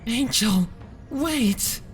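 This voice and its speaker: A man voicing a distraught, delusional adult female pony in a story reading, pleading tearfully and haltingly. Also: very high-pitched, expressive, fairly bright tone, soft background music, pitched above the performer's own voice, exaggerated voice. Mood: desperate pleading. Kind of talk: dramatic fan fiction reading.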